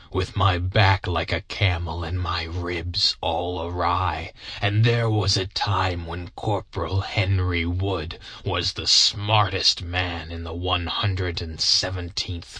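A man voicing a bitter, broken-down old ex-soldier who speaks slowly and grudgingly. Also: low-pitched, bright, forceful tone, slightly rough, dry recording, pitched below the performer's own voice, exaggerated voice. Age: older. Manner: slow, heavy, grudging storytelling. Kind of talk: audiobook character reading.

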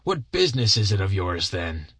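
A man voicing a bitter, broken-down old ex-soldier who speaks slowly and grudgingly. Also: sits low, big pitch swings, fairly bright tone, husky, no echo, voice pushed down, full character voice. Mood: defiant. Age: older. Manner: slow, heavy, grudging storytelling. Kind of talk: audiobook character reading.

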